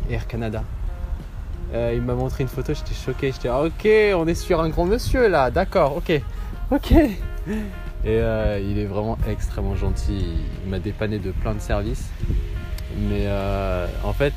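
A man in his twenties giving a casual, rambling voice note outdoors on his phone, chatty and exclamatory. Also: mid-range voice. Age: 20s. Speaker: vlogger. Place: outdoors in the cold street.

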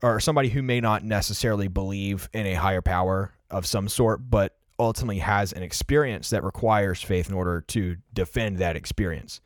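A man's voice: fairly low pitch, brisk, few pauses, no echo, studio quality. A man in his early twenties talking casually and reflectively on a podcast, explaining his thoughts with plenty of "like" and "you know". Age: early 20s. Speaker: podcast co-host discussing favorite films.

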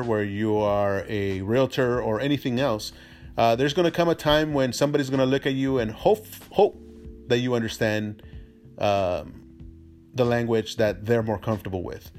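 A man whose first language is Spanish, giving an informal explanatory talk as a real estate agent, halting with frequent mid-sentence pauses. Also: medium pitch, faint music behind. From immigrant to the US from a Spanish-speaking country.

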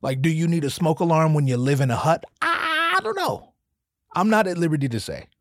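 A man's voice: on the high side, very animated, brisk, a bit bright, dry recording, clean studio sound. A man from the US Northwest hosting a solo comedy podcast, rambling and cursing with lots of restarts. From US West Coast, Pacific Northwest. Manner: profane, rambling, self-interrupting. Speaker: podcast host.

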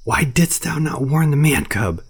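A man's voice: deep voice, darkish tone. A man doing a proud scolding panther. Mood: scornful. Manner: impatient, scolding, slow.

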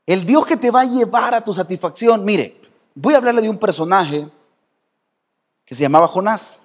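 High-voiced Salvadoran pastor preaching. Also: male voice, animated, moderate pace, slightly rough. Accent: Salvadoran Spanish. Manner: conversational preaching, quizzing the congregation by name. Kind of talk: sermon. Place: church hall.

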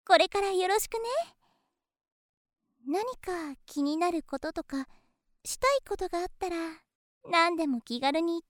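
A woman playing a gentle, coy young woman with hesitant pauses in a Japanese audio drama. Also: very high-pitched, no room sound, clean studio sound. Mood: sweet, coy. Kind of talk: Japanese situation voice drama acting.

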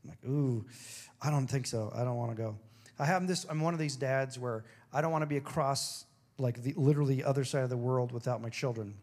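A man preaching a sermon with a throaty, hoarse voice, moving between emphatic declarations and casual, joking asides. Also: mid-range voice, brisk, a little mellow. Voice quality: gravelly, throaty. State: hoarse after singing. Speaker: pastor.

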